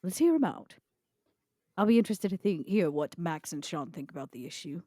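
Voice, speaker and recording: A woman playing a haughty, scheming female vampire in a tabletop game, with a dry, sardonic, deliberate delivery. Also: on the low side, expressive, dark tone, no echo. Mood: conspiratorial.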